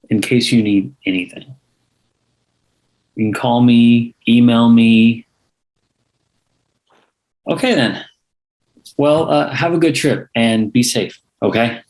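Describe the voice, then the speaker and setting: A man playing an earnest, meddling skeptic at a screenplay table read, with measured, pointed delivery. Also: medium pitch, darkish tone, lightly acted, rough recording. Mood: awkwardly courteous. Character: earnest, meddling man casting doubt on someone.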